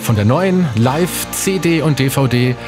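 Casting: middle-aged male radio host, medium pitch, conversational presenting in a studio. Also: lively intonation, unhurried, music in the background. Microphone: studio broadcast microphone.

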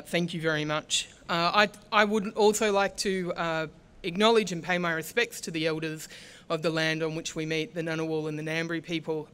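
Clear-voiced woman at the lectern. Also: lowish voice, fairly bright tone. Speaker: master of ceremonies. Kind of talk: formal welcome speech. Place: outdoor stage at a large ceremony. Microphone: lectern microphones.

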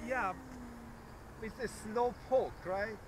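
A man's voice: high-pitched, takes it slowly, husky. A man chatting casually with a fellow plane pilot, recorded off mic in an open outdoor field. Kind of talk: casual chat. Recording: noisy outdoor sound, off mic.

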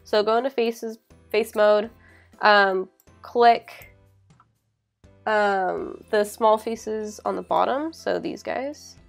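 A young adult woman narrating a software tutorial in a casual, informal way, often saying "like". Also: medium pitch, slow pace, gravelly. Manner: informal, hedging with frequent "like". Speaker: tutorial presenter. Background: background music.

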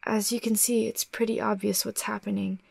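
A woman voicing an anxious, cunning young girl in an animated drama, earnest and guarded, sometimes breathy. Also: mid-range voice, fairly quick. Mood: calm, scheming. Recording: music under the dialogue.

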